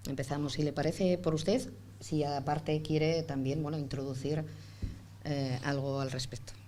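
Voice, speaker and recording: A woman moderating a round table, speaking in measured, wordy phrases that build piece by piece. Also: low-pitched, fairly even intonation, dark tone. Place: indoor round-table conference room. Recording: faint background noise.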